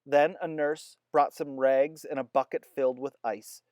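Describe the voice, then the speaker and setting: A reader narrating a children's chapter book aloud with expressive delivery. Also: medium pitch, a little slow, no room sound, studio quality. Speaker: storybook reader.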